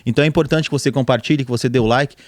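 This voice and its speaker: A man's voice: medium pitch, steady tone, very fast, darkish tone, no echo. A man hosting a church podcast, upbeat and joking with his co-host and guest. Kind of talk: casual church podcast chat.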